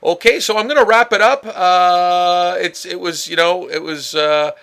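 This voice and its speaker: Bright-voiced man hosting a casual podcast. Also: sits high, steady tone, measured pace, creaky, clean tone. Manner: rambling, many fillers and restarts. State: stuffed up with a head cold. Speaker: podcast host. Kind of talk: casual solo podcast chat.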